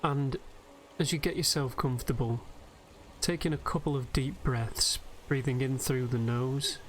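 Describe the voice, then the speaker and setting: A man gives soothing, unhurried, gentle guided sleep meditation commentary over a game. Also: medium pitch. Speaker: host and narrator of a game meditation video. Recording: steady background noise, game nature ambience. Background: game ambience of river and birdsong.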